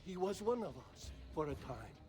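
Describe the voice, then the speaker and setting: An actor playing a timid, nervous monk in a video game cutscene. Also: male voice, high voice, big pitch swings, normal speed, music in the background. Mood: nervous, hesitant. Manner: nervous, fretful. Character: timid, easily terrified Italian monk.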